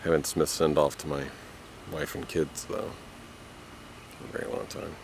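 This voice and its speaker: A man in his thirties talking casually to a handheld camera at arm's length, relaxed and rambling. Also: extremely low pitch, normal speed, gravelly, noisy background. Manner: relaxed, rambling, self-deprecating. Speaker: vlogger. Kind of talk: casual vlog talk to camera. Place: porch and living room of a house, then dark room.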